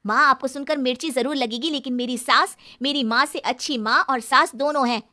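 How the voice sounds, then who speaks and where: Bright, indignant young woman, acted out. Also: high voice, brisk. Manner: confronting, reproachful. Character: outspoken young married daughter, indignant and righteous.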